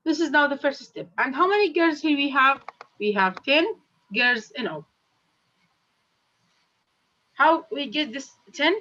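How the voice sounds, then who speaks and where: A woman's voice: high-pitched, pushed and bright, muffled recording. A woman from Jordan, a math teacher leading a classroom lesson and questioning her students one by one. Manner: slow, repetitive questioning of students.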